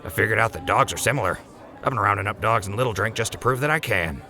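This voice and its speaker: Dude voicing a chill rancher guy, talks fast, bright low-ish voice, jokey. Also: animated, soft background music. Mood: laid-back. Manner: laid-back, joking. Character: easygoing young male wasteland rancher. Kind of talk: fan audio drama.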